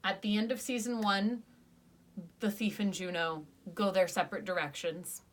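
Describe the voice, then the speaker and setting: A woman's voice: mid-range voice, fairly even intonation, moderate pace. A young woman co-hosting a casual podcast review, chatty and enthusiastic, arguing playfully with her friend. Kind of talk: casual chat.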